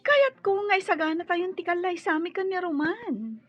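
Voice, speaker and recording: A woman playing a very high, pleading young daughter. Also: lively intonation, moderate pace, music in the background. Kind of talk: radio drama acting. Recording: dry muffled studio audio.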